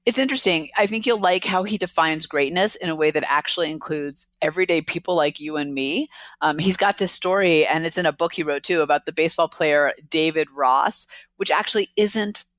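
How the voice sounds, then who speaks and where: Chatty podcast host, middle pitch, upbeat, dry close mic, laughs easily. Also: brisk, a bit bright, no room sound. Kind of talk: casual interview intro and chat.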